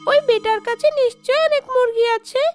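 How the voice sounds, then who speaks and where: Female ghost, piercingly high, sly, bossy, animated storybook tale, music behind. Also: fairly quick. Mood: scheming, hungry. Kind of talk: animated Bengali storybook acting.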